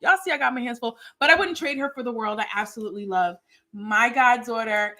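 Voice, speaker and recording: A woman's voice: on the high side, a little fast. A middle-aged woman hosting a casual livestream chat, giving motherly advice to her goddaughter and talking to viewers. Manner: animated, motherly advice, addresses viewers as y'all. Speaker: host and godmother.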